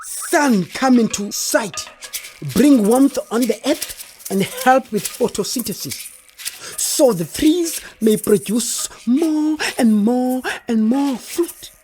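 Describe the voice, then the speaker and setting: Lively, mellow female creator spirit. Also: on the high side, slow, a little hiss or hum, rough recording. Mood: grand, commanding. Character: female creator spirit of sun and rain, playful, grand. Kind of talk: children's musical comedy audio theatre.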